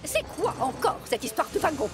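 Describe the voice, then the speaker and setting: A woman's voice: sits high, very animated, a little fast. A woman voicing a bossy, fretful steam shovel in a French cartoon dub, exasperated and dramatic. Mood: annoyed. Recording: music behind the voice.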